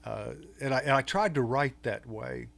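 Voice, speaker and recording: An older man from Texas with a Texan accent, a guest on an interview show, talking in a halting way with many fillers and restarts. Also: mid-range voice, creaky, a bit bright. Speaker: podcast guest, author. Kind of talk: casual interview talk.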